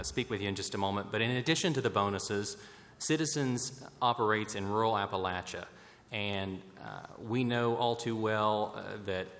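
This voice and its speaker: An American man giving formal, somewhat halting remarks at a public roundtable as a bank president. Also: on the low side, fairly bright tone. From West Virginia, United States. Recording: echoey hall, noticeable background noise.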